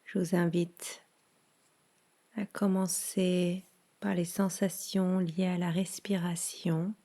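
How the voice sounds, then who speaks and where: A woman leading a guided yoga nidra relaxation in a soothing, hushed manner with long pauses. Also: lowish voice, little pitch movement.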